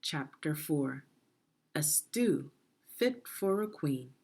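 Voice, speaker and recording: A woman reading a children's story aloud in a deliberate, expressive teaching manner, putting questions to the listener. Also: low voice, husky. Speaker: education consultant reading a children's book aloud.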